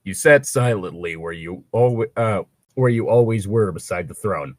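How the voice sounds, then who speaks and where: A man's voice: fairly low pitch, normal speed, no room sound, clean studio sound. A man chatting casually and reading aloud as co-host, breaking off for sardonic, sweary asides. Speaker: co-host of a fan fiction reading show. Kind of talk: casual banter and read-aloud with commentary.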